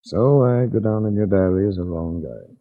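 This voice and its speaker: An actor playing a cool, wisecracking insurance investigator with a dry, hardboiled delivery in 1940s radio drama. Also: male voice, lowish voice, animated, moderate pace. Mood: guarded, dry. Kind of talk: 1940s radio detective acting and narration. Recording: muffled old 1940s radio recording.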